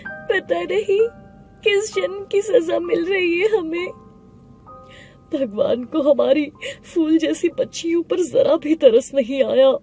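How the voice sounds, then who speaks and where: A woman voicing a sorrowful, meek village wife and mother in an animated storybook tale, plaintive and deferential. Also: very high voice, slow, long pauses, pitched above the performer's own voice, exaggerated voice. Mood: tearful, despairing. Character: grieving poor young mother, devoted timid wife. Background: background music.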